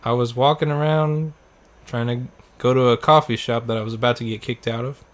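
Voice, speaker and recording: A man's voice: mid-range voice, fairly even intonation, fairly quick. Casual male podcast co-host telling stories. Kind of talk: casual chat, anecdotes.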